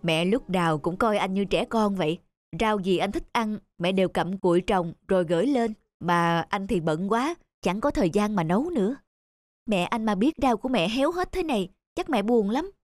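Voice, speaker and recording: A woman playing a kind, caring young girlfriend in a cartoon dub, chatting gently. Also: mid-range voice, expressive, normal speed, no echo, close to an everyday voice. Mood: gently concerned.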